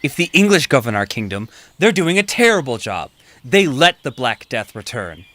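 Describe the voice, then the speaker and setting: A man's voice: medium pitch, big pitch swings, normal speed, pushed and bright, dry recording. A man playing a vain, helpless, complaining king in a comic stage-style audio drama. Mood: indignant. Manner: pompous, boastful, complaining. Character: vain, whining, helpless old Welsh king.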